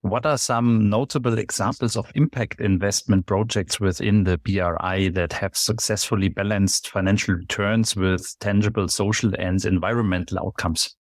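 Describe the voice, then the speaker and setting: Low-voiced male podcast host. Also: fairly even intonation, normal speed, few pauses, no echo, studio quality. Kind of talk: scripted podcast introduction and interview questions.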